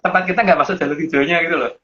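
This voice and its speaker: A man's voice: a little high, fairly even intonation, rapid-fire, sharp tone. Rambling man explaining. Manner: rambling, restarts with frequent fillers. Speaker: guest explaining teachings. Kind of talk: casual explanatory chat. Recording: dull lo-fi audio with faint hiss.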